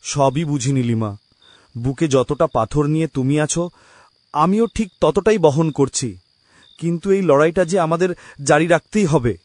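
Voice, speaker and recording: A man's voice: on the high side, normal speed, darkish tone, no room sound, close to an everyday voice. A man playing a burdened, sorrowful husband and father in a melodramatic cartoon. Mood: sorrowful, consoling.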